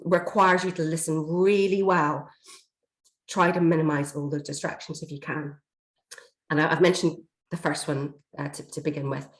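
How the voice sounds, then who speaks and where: A woman, slightly hoarse from a chest infection, giving a steady, explanatory workshop talk on a video call, with frequent fillers. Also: on the low side. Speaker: learning and development trainer. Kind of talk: online workshop presentation. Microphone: computer mic on a video call. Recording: echoey room over a video call.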